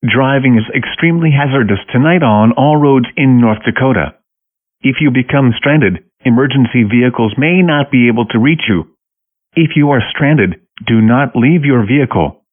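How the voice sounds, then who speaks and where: A man delivering a scripted public safety announcement in a formal, measured manner, as an emergency message announcer. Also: mid-range voice. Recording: tinny, narrow phone-like radio transmission.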